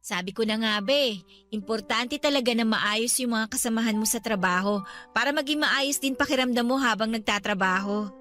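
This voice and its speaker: An actress playing a devoted young adult Filipino daughter, gentle, respectful and reassuring, in a radio drama. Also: female voice, a little high, normal speed, faint music behind, natural voice. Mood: cheerful, caring. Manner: caring, respectful. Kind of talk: Tagalog radio drama acting.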